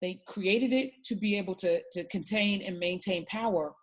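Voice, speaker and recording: Woman talk host, husky, fervent and emphatic, rallying her listeners. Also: medium pitch, moderate pace. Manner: passionate, emphatic, preachy. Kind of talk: casual political discussion.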